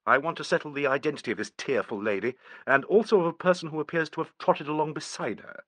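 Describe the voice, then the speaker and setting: A man voicing a brisk police inspector who speaks crisply and coaxingly. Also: fairly high pitch, a bit bright, no echo, voice pushed up.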